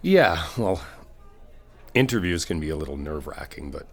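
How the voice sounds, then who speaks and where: A man acts out an easygoing male best friend with a low voice. He talks in a casual, conversational way, reassuring and supportive, rephrasing as he goes. It is a one-sided ASMR comfort roleplay, spoken to a single friend. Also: big pitch swings, normal speed, heavily acted. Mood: reassuring, explanatory. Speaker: audio roleplay performer.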